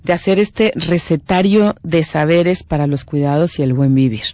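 A woman's voice: deep voice, normal speed, mellow, no echo. A woman with a Mexican accent hosting a radio show in a conversational interview style.

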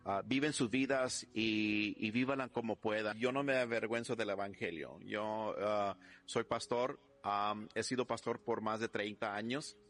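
A man who is a pastor, speaking in a steady, unhurried and firm way. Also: on the low side.

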